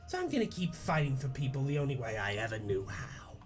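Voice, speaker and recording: A man's voice: medium pitch, wildly swooping pitch, fairly quick, soft background music. A man playing a defiant young woman in a theatrical, earnest, foul-mouthed monologue. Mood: resolute. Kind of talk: dramatic reading of a webcomic script.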